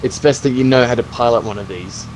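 A man playing a calm, practical zombie apocalypse survivor in an improvised game roleplay, talking in an encouraging, instructive way. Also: medium pitch, quick pace, noisy background, close to an everyday voice. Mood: reassuring.

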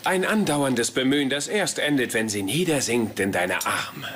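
Man playing a smug nerdy romantic, lively pitch. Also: moderate pace, lightly acted. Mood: grandly romantic. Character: smug young male nerd, self-styled romantic. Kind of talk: German sitcom dub dialogue. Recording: noisy TV soundtrack with audience laughter.

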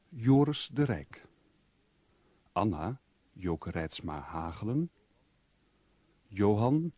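A man announcing a radio programme in a formal, measured, scripted style. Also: low voice, dark tone, husky, no room sound. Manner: measured, deliberate.

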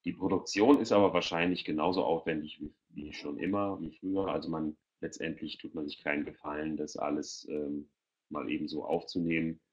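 A man's voice: very deep voice, fairly even intonation, a little fast. A middle-aged man giving explanatory answers as a panelist in an online Q&A, speaking through a headset. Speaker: audio producer for children, panelist. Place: indoors at home, video call. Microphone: headset on a video call. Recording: dull, lo-fi video call audio.

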